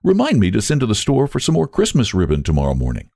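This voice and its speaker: A man voicing a proud, grieving older woman who speaks in a cool, prim, measured way in a storybook reading. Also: lowish voice, wildly swooping pitch, creaky, warm tone, no room sound, studio quality, voice pushed up, full character voice. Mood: cool defiant.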